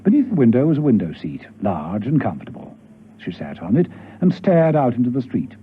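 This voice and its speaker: A man's voice: medium pitch, wildly swooping pitch, a little fast, breathy edge. A man narrating a radio spy drama in scripted storytelling style. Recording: thin phone-like old radio recording.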